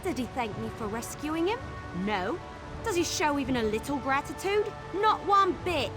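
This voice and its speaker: A cocky female wood elf archer in a fantasy video game. Her voice is very high and bounces around with breezy, teasing chatter. Music runs behind her. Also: sing-song, normal speed. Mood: indignant. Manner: breezy, playful, informal. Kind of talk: video game character acting.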